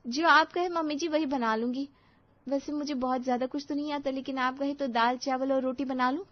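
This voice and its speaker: A woman's voice: sits high, fairly even intonation, a little fast, dry recording, muffled recording, pitched above the performer's own voice, close to an everyday voice. A woman voicing a young, eager new bride, polite and chatty in a dramatized family story. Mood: obliging.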